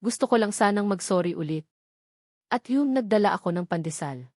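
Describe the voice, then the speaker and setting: A woman voicing an awkward, apologetic, gentle man in an audio romance drama, hesitant, stammering and soft-spoken. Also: medium pitch, lively intonation, fairly quick, dry recording, studio quality, natural voice.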